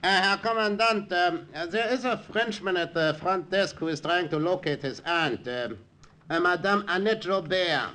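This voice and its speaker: An actor playing a brusque, officious German Gestapo sergeant with a German accent in a 1940s radio drama. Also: male voice, sits high, normal speed, pushed and bright, a little hiss or hum. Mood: obsequious. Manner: curt, suspicious, obsequious to superiors.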